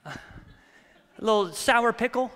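A man in his thirties, a pastor, preaching a sermon with prayer and lively stories that he acts out. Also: sits high, slow. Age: 30s. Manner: animated, storytelling with acted-out quotes. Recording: long reverb of a church hall.

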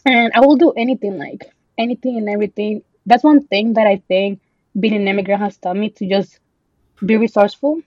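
A woman's voice: mid-range voice, fairly even intonation, brisk, a little mellow, no echo, lo-fi sound. A woman in her twenties from El Salvador, a podcast guest chatting casually with many ums and bits of Spanish mixed in.

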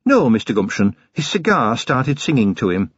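A man's voice: mid-range voice, sing-song, normal speed, breathy edge, no echo, highs cut off. A man voicing a shrewd female dwarf police officer in a fantasy audiobook, courteous, formal and cheerily firm. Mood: polite, businesslike. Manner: formal, courteous, quietly pressing.

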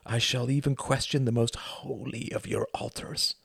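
A man voicing a furious, desperate young man who shouts and accuses his mother in an audiobook. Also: mid-range voice, steady tone, normal speed, vocal fry, breathy edge, dry recording, studio quality, pitched above the performer's own voice, heavily acted. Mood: bitter accusing. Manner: shouting, raging, pleading. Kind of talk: audiobook character voice.

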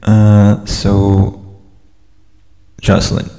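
A man's voice: on the low side, monotone, extremely slow, mellow, big-room sound. A man in his thirties from California, co-hosting a podcast in a hesitant, casual chat with frequent laughs. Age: 30s. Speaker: podcast co-host.

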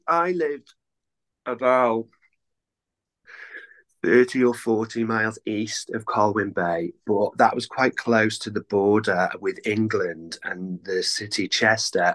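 A man's voice: fairly low pitch, unhurried, a bit bright. A Welsh man in his thirties, a musician chatting casually in an interview, rambling and self-deprecating with lots of fillers. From North Wales. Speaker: guest musician.

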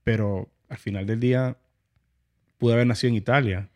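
A man with a Venezuelan accent hosting a casual, reflective conversation, haltingly repeating words as he thinks aloud. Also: mid-range voice, fairly even intonation, a little mellow. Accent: Venezuelan Spanish. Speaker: interview host.

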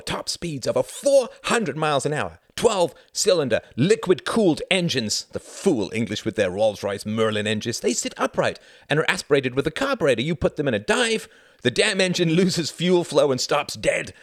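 A man voicing a brash, hot-tempered, boastful German fighter pilot in an audiobook, forceful and menacing. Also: medium pitch, animated, a little fast, dry recording, clean studio sound. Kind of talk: acted audiobook dialogue.

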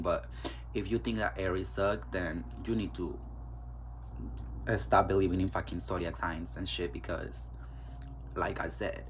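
A man's voice: low-pitched, sharp tone, a little hiss or hum, low-quality recording. A man in his twenties with a Mexican accent, talking candidly and bluntly with lots of fillers and swearing as the host of a solo podcast. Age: 20s. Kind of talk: casual solo podcast monologue.

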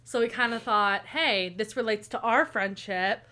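A young woman in her early twenties co-hosting a casual chat podcast. Her voice sits a little high and sounds bright and clear. She talks in an upbeat, rambling way, full of "like" and "um". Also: normal speed. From Tulsa, Oklahoma. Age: 20s. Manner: chatty, upbeat, lots of fillers. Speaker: podcast co-host.

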